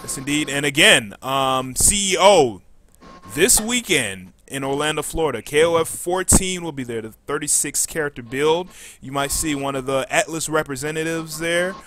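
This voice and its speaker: A man hosting a podcast casually, reading out announcements and often pausing mid-sentence. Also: on the high side, animated, pushed and bright, a little hiss or hum. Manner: halting, frequent pauses mid-sentence. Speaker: podcast host.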